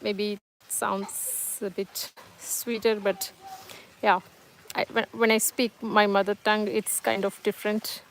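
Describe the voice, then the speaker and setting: A woman whose first language is Tamil talks slowly and gently to her live viewers, pausing often and restarting her sentences. Her voice sits at an ordinary pitch, and a faint hiss of noise runs under it. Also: flat delivery. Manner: hesitant, many fillers and restarts, gentle and reassuring. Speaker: livestream host. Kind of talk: casual live-chat monologue, reading comments.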